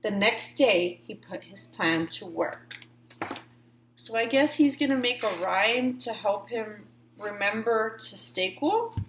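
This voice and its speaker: A woman's voice: medium pitch, expressive, slow, fairly bright tone, echoey room, a little hiss or hum. A woman reading a picture book aloud to children, giving key words dramatic emphasis and adding informal asides. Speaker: read-aloud storyteller.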